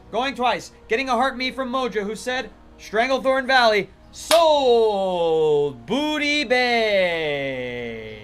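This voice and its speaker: Male gamer on a live stream, chatty and jokey; a bit high-pitched, soft music underneath. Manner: chatty, playful, thanks viewers and runs mock auctions. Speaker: livestream gamer. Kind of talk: casual gaming livestream chat.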